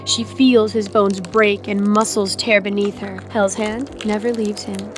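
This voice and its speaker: A woman's voice: mid-range voice, little pitch movement, a little slow, music in the background. A woman reading scripted dramatic narration as the narrator of an audio drama.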